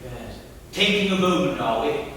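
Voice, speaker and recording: A man's voice: a little high, animated, moderate pace, a bit bright, slight background noise. A man playing a drunk posh toff. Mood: drunken bluster. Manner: theatrical, sly, drawling with sudden outbursts. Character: drunk posh upper-class man, nosy and teasing. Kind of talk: stage play performance. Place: theatre stage. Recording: echoey theatre stage from far off.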